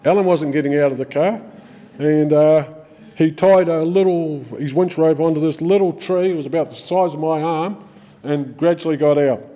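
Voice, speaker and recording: A middle-aged man with a New Zealand accent tells rambling, good-humoured stories at a funeral, pausing on ums and restarting his sentences. His voice is warm and dark. The sound carries a long reverb from a large hall. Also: on the high side, fairly even intonation. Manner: chatty storytelling with frequent ums and restarts. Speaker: friend giving a eulogy. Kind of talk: informal eulogy speech.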